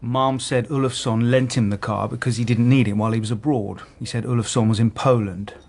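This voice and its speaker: An actor playing a matter-of-fact, slightly hesitant police detective in a naturalistic radio drama. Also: male voice, mid-range voice, fairly even intonation, brisk, creaky, darkish tone, slight background noise, close to an everyday voice.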